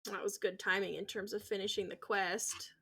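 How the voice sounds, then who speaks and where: A woman's voice: medium pitch, little pitch movement, normal speed, a bit bright. A woman streaming a video game, giving casual, rambling commentary in an informal, musing way. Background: music.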